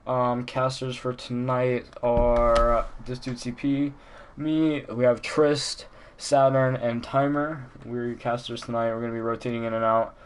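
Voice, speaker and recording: A young man with a stuffy, congested voice runs an online esports event. He talks in a flat, level way and often stops on "um." A faint hiss sits behind him. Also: mid-range voice, a little slow. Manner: hesitant, many "um"s. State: stuffy from a sinus infection. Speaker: tournament organizer and host.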